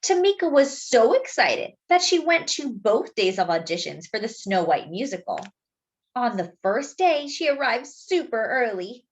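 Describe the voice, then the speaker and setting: A woman reading a children's story aloud expressively, phrasing deliberately as if to young listeners. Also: fairly high pitch, very animated. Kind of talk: expressive picture-book read-aloud with asides to young listeners.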